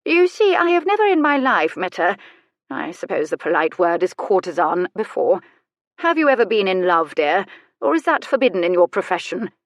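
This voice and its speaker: A woman acts out a bedridden old noblewoman from a storybook. She is haughty and sharp-tongued, teasing with dry, theatrical relish. The sound is close and dry, with a raised, emphatic delivery. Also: lowish voice, sing-song, normal speed, no echo. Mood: probing, teasing. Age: older. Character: elderly bedridden aristocratic lady, sharp-tongued and mischievous.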